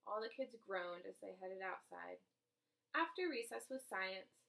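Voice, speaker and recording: A woman reading a children's story aloud in an expressive way, speaking directly to young listeners, with a creaky voice. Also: mid-range voice, a little slow.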